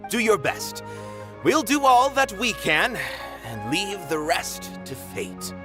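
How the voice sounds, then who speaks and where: A man's voice: high voice, big pitch swings, takes it slowly, over music, full character voice. An actor playing an earnest, caring middle-aged male theater owner. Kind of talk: game voice acting.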